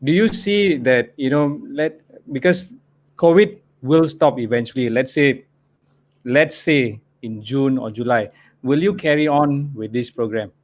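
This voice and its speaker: A man hosts a live online business talk, nudging guests along with an encouraging manner. His voice sits at an everyday mid pitch and he leans on "you know" as filler. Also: unhurried. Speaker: host. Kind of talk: live webinar hosting.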